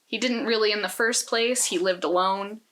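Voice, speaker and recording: A woman's voice: medium pitch, moderate pace, a bit bright. A woman co-hosting a podcast, casually talking through a book in a thoughtful, opinionated way. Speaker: podcast co-host.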